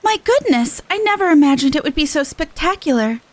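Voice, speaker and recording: A woman's voice: high-pitched, lively intonation, fairly quick, no room sound. A woman voicing a gracious, formal official from a fantasy game, reading the dialogue expressively. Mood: awed. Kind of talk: amateur game dialogue read-aloud.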